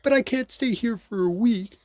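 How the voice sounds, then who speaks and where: A man voicing a gentle, simple storybook bear, talking in a high, plaintive, earnest way. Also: moderate pace, no echo.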